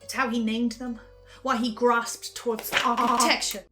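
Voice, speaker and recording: High-pitched woman giving a pensive recap. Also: level tone, normal speed. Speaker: host of a fan recap series. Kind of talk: dramatic investigative recap monologue. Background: music.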